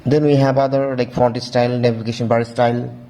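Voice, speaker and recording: A man giving a casual, halting walkthrough as a tech reviewer, often repeating stock phrases. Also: medium pitch, flat delivery, warm tone, a little hiss or hum, low-quality recording. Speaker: tech reviewer, video host.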